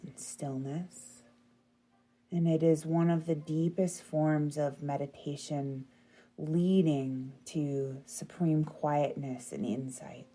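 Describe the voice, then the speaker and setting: A woman leading a guided relaxation meditation in a soothing, gentle, unhurried manner. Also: deep voice. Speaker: yoga nidra meditation guide.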